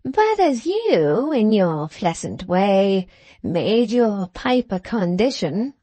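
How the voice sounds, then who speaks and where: A woman voicing an irritable, wheedling old man in a classic novel, with a breathless, drawn-out delivery. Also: medium pitch, sing-song, mellow, no room sound. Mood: wheedling, sly.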